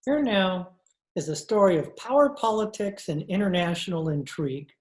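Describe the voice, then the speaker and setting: A woman's voice: on the low side, lively intonation, normal speed, darkish tone, soft background music, lightly acted. A woman playing a breezy, wisecracking male con artist adventurer in old-time radio drama style, with a wry storytelling manner. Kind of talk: old-time radio drama acting and first-person narration. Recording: roomy video-call sound.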